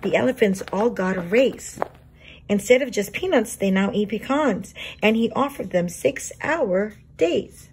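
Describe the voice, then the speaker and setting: A woman reading a children's storybook aloud as a teacher would, expressive and explanatory. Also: mid-range voice, measured pace, a little hiss or hum, low-quality recording.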